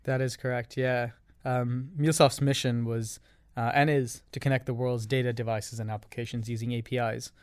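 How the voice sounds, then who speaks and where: A man from Canada, a guest on a podcast, who gives measured answers laid out in ordered points. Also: medium pitch, level tone, smooth, dry recording, studio quality. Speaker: guest, revenue operations leader. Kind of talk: interview answers.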